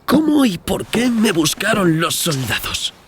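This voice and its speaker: A man plays a shaken traveller in an audiobook drama. His voice is lifted high in puzzled, insistent questioning. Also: big pitch swings, measured pace, crowd noise. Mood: puzzled, urgent. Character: shaken English male traveller, anxiously questioning his host.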